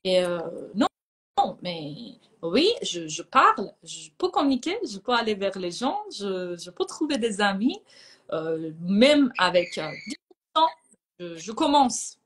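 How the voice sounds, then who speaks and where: A woman from Iran whose first language is Persian, a psychologist chatting as a guest in a calm, reflective way and often pausing to find her words. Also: medium pitch. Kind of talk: casual conversation.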